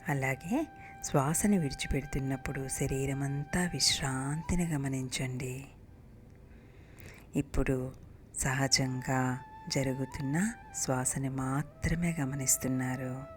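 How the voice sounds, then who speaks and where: Very low-voiced woman guiding meditation in soft breaths. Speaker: meditation guide. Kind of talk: guided meditation narration. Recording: music bed behind the voice. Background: meditation music.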